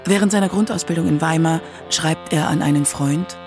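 A narrator reading a scripted radio documentary in a measured literary manner. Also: medium pitch, soft background music.

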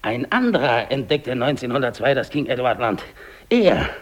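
An actor playing a dying, despairing English polar explorer alone, brooding and halting, in a 1950s German radio drama monologue. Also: male voice, medium pitch, sing-song. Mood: desperate, bitter. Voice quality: gravelly, husky. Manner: halting, brooding, repeats words, hushed to declamatory.

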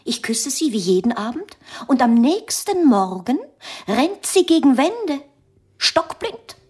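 A woman's voice: sits high, sing-song, slow pace, a little mellow, exaggerated voice. An actress playing an anxious, overwrought mother in a radio drama, theatrical and insistent. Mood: distressed.

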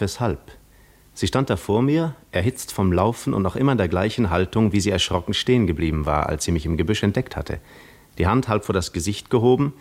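Cunning Greek war veteran, low voice, measured, reflective, self-assured, articulate, 1950s radio play monologue. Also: male voice. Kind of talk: 1950s radio drama monologue, literary first-person narration.

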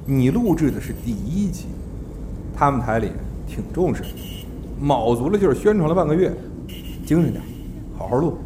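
An actor playing a brisk, teasing talent manager in a Mandarin audio drama, chatty and exasperated in his banter. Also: fairly high pitch, very animated, background noise. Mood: businesslike. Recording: music behind the voice.

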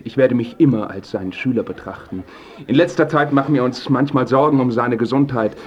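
An actor playing a polished, deferential painter in a radio drama, formal and courteous. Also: male voice, mid-range voice, brisk, muffled recording, natural voice. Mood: courteous, earnest. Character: polished, deferential male painter, quietly rivalrous.

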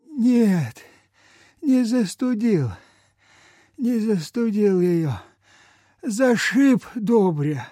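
A very slow, frail old peasant. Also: male voice, high-pitched, animated, warm tone, dry recording, clean studio sound, full character voice. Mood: plaintive, weary. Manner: humble, halting, sighing folk speech. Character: frail, meek, sick old peasant man. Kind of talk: mid-20th-century radio theatre acting.

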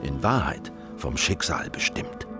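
An actor playing a guarded, world-weary traveller who speaks and narrates in a measured, dry, reflective way in a radio drama. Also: male voice, extremely low pitch, very animated, heavily acted. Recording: studio radio drama under loud guitar music.

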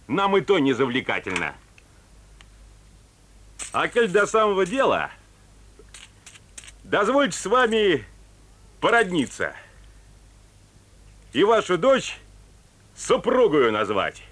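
A man's voice: high-pitched, wildly swooping pitch, takes it slowly, long pauses, fairly bright tone, exaggerated voice. A man playing a grimy, stubborn peasant grown rich in a comic fairy-tale audio play, speaking in a folksy, theatrical, mock-deferential way. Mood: smug deference.

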